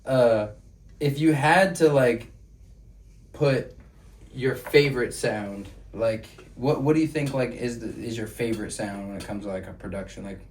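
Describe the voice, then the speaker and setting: A man's voice: medium pitch, a little slow, darkish tone. A man hosting a podcast in casual, slangy, profane conversation, speaking emphatically. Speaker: podcast host. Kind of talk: casual chat about music. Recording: noisy room, distant mic.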